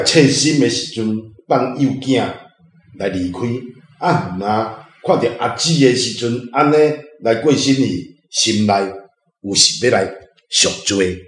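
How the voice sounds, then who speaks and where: A man from southern Taiwan with a Taiwanese accent giving informal commentary on a story, often ending phrases with tag particles. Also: on the low side. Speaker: reading club member sharing thoughts. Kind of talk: informal spoken book commentary. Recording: roomy sound, faint music.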